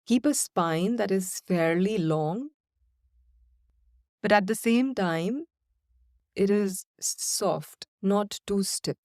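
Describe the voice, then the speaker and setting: Woman, meditation guide, very slow, calm, soothing, dry close sound. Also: mid-range voice, no echo. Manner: slow, calm, soothing instructions with long pauses.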